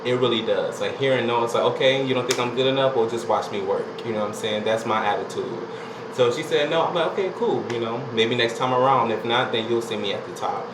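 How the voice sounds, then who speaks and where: A young man tells his story in a casual, rambling vlog style, leaning on "you know" and "like" as he goes. His voice sits at an ordinary pitch for a man. The room is echoey, and a steady air conditioner hum runs clearly underneath. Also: fast talker. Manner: laid-back, chatty, lots of "you know" and "like". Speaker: vlogger.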